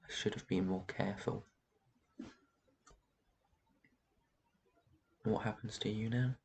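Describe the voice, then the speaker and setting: An ancient vampire master, acted out by a man, with a low voice full of gravelly creak. He speaks in a gentle, protective and soothing way, as in an intimate roleplay ASMR. His delivery stays very even, with slight noise behind it. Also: heavily acted, poor audio. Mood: soothing, apologetic. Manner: soft shushing, reassuring, tender endearments. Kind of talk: roleplay ASMR, intimate one-sided dialogue.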